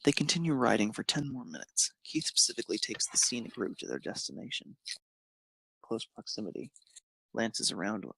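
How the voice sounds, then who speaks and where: Gravelly, husky male narrator. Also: medium pitch, sharp tone, no room sound. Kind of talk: reading fan fiction aloud.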